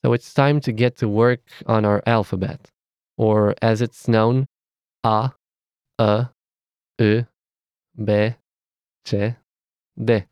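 Romanian-accented male tutor, unhurried careful diction, dry studio sound. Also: lowish voice, fairly even intonation, a little mellow, smooth, no echo. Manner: measured, careful enunciation of letters. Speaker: language teacher. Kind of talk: scripted language lesson.

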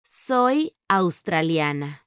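Slow, smooth-voiced woman reading model sentences. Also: lowish voice, very animated, a bit bright, dry recording, studio quality. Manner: slow, careful enunciation. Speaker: native speaker model in a language course.

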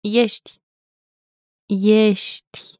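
A woman teaching a language, saying each word slowly and clearly twice in a scripted lesson. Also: mid-range voice, little pitch movement, no room sound, studio quality. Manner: slow careful pronunciation with repeats. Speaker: native-speaker language teacher.

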